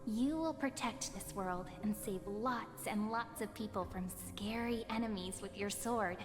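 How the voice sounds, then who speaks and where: A woman's voice: mid-range voice, measured pace, close to an everyday voice. A woman voicing a playful, teasing young village girl who reads fortunes in a video game. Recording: reverberant game audio under music.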